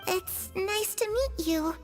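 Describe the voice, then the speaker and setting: A very high, childlike spirit girl, cheerful and sweet, as in a video game. She speaks slowly, in long reverb with music behind her. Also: fairly even intonation. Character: cheerful little ghost girl of an orphanage. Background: soft game music.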